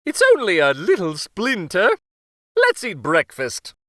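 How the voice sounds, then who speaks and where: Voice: medium pitch, wildly swooping pitch, a little slow, sharp tone, no room sound, clean studio sound, voice pushed up, heavily acted. A man voicing a fussy, reluctant storybook king with whiny, exclamatory protests. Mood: stubborn refusal. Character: fussy, reluctant king afraid of splinter removal.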